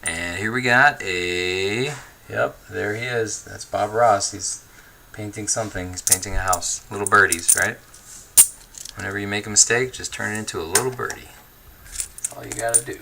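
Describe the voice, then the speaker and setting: A man hosting a livestream, chatting casually and reading viewer comments in a relaxed, easygoing way, laughing often and thinking aloud with fillers. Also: on the low side, normal speed, gravelly, noisy background. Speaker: livestream host.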